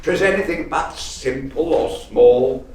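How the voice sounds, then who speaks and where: A man acting in a radio play as a fearful old curmudgeon of a businessman, halting and theatrical, full of exclamations. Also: medium pitch, close to an everyday voice. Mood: nostalgic wonder. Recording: echoey, distant stage recording, faint noise.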